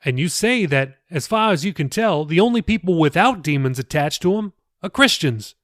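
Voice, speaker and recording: A man's voice: fairly high pitch, animated, moderate pace, fairly bright tone, dry recording, clean studio sound, pitched above the performer's own voice. A man reading an audiobook, voicing an earnest, persuasive pastor who talks casually and presses with questions. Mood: probing. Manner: colloquial, insistent, questioning. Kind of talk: audiobook character dialogue.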